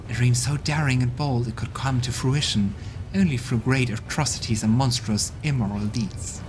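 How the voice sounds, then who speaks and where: A man's voice: mid-range voice, close to an everyday voice. A man voicing an arrogant, haughty female demon who talks with contempt, over game music.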